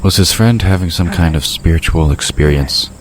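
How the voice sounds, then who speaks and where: Male narrator, extremely low voice, scripted fantasy storytelling, faint night-insect noise behind. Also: normal speed.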